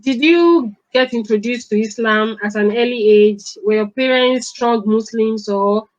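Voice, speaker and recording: A woman's voice: fairly high pitch, a little slow. A woman hosting an interview, chatting casually and often affirming her guest. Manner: encouraging, frequent affirmations. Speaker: interview host. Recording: dull, lo-fi sound.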